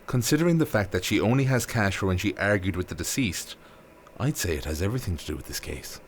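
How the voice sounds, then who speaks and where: A man's voice: low-pitched, expressive, quick pace, slight background noise. A man playing a stern, sceptical police interrogator in an audio drama, curt and accusatory. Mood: stern, accusing.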